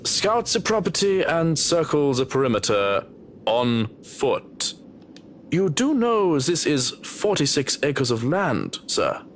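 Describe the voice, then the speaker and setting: A man playing a dry, reluctant servant in a visual novel, with a deliberate, questioning manner. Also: fairly high pitch, big pitch swings, a little hiss or hum. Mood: incredulous. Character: dry, reluctant male servant addressing his employer. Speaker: game character.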